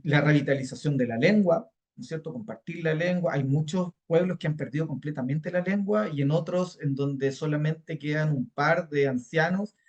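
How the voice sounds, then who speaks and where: A man's voice: a little high, lo-fi sound. A man co-hosting a podcast, speaking emphatically and repeating words for stress, with frequent fillers as he talks. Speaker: podcast co-host. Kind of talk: conversational podcast chat.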